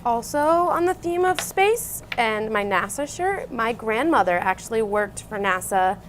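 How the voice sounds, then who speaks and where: A woman's voice: a little high, expressive, a little slow. A woman co-hosting a craft show, demonstrating steps casually and chatting around a table indoors. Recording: noisy room with table sounds.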